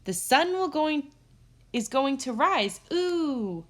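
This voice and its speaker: Lady doing a chirpy little storybook squirrel, sing-song, high and cheeky. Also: very animated, slow pace, heavily acted.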